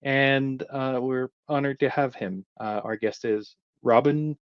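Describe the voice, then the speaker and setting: A man's voice: medium pitch, fairly even intonation, a little slow, smooth, no echo. A man co-hosting a show, joking, with many filler "uh"s. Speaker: co-host. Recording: dry, dull lo-fi audio.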